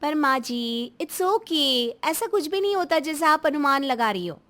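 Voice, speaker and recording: Lady playing young caring daughter-in-law, really high voice, earnest, pleading, family audio drama. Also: big pitch swings, measured pace, a bit bright, heavily acted. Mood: indignant. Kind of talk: melodramatic Hindi audio story acting.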